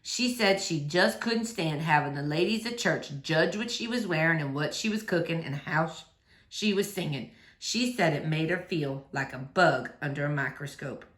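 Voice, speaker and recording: A woman voicing a quiet, melancholy middle-aged preacher and father in a storybook read aloud, speaking slowly and gently subdued. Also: low voice, echoey room, voice pushed down. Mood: sad, subdued. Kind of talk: children's novel read aloud.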